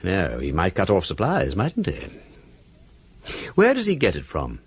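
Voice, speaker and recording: Mysterious aloof gentleman, upper-class English, taunting, arch, breathy husky voice, hugely swooping intonation, period radio drama. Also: deep voice, wildly swooping pitch, a little slow, no echo, heavily acted. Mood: cool, sardonic. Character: mysterious masked gentleman sleuth, mocking, teasing, aloof.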